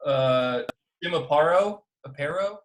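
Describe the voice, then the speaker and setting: A man from the United States hosts a casual interview, firing off quick, easygoing questions and short replies. His voice sits a little higher than most men's. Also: lively intonation, slight background noise, low-quality recording. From United States (Denver). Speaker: host.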